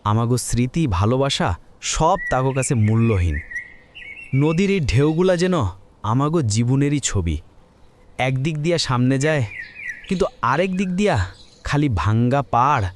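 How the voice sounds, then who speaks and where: A man plays a melancholy old villager in a cartoon, musing in a mid-pitched voice. The accent is rural Bangladeshi Bengali, and faint noise and birdsong sit behind the words. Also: expressive, a little slow, pauses often, close to an everyday voice. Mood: wistful, sad. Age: older. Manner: wistful, reflective. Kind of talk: cartoon voice acting.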